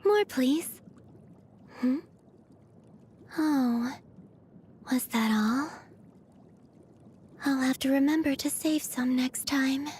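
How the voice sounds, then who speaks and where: A woman voicing a serene, otherworldly young moon maiden from a video game, soft and breathy, with a gentle, naive curiosity. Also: sits high, slight background noise. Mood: softly pleased. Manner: slow, dreamy, innocently curious. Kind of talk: video game character voice lines.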